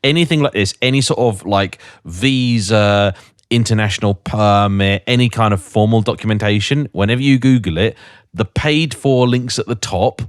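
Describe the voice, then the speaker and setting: A man's voice: fairly low pitch, normal speed, clean tone, no room sound, studio quality. A British man co-hosting a podcast in casual, chatty storytelling style, acting out bits of dialogue. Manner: chatty, quotes imagined dialogue. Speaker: podcast co-host. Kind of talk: casual chat, anecdotal storytelling.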